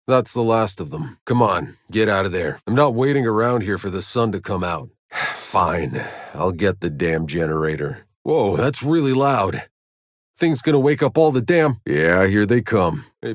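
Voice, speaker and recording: Husky, low-voiced, jaded game soldier. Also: male voice, no room sound, studio quality. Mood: tense, raised. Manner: wry, terse, world-weary delivery. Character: jaded, sardonic male soldier, hardened survivor of alien wilds. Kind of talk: video game character voice lines.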